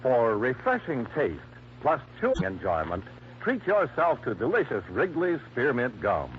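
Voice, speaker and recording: A man's voice: medium pitch, huge pitch swings, measured pace. A man announcing for a 1950s radio sponsor in a polished, emphatic, scripted sales delivery. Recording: dull vintage radio recording, hum.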